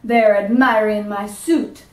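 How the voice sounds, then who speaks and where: A woman's voice: mid-range voice, steady tone, slow, darkish tone, natural voice. A woman reading aloud and voicing a fussy, stingy man who is a dentist, speaking curtly and haughtily. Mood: alarmed. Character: fussy, stingy middle-aged male dentist. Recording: roomy sound from a distance.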